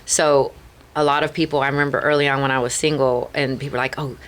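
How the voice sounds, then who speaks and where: A woman's voice: extremely low pitch, fairly even intonation, fast, fairly bright tone. A middle-aged Chicana woman with a Chicana accent, telling candid stories as a podcast guest, earnest and full of "like" and "right?". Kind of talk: candid storytelling interview.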